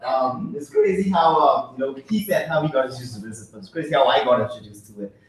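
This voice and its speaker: A young man from the Bahamas with a Bahamian accent, telling an excited, laughing story at a business meeting. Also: medium pitch, fast. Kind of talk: testimonial talk at a business meeting. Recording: echoey hall from far off.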